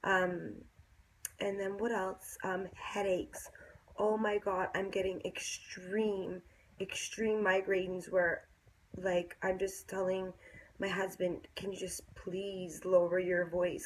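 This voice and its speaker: A woman's voice: mid-range voice, steady tone, slow, low-quality recording. A young woman with a congested, nasal voice, chatting to her viewers in a pregnancy vlog, confiding and full of ums. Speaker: pregnancy vlogger. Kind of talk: casual chat to camera. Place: bedroom, indoors. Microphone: phone camera.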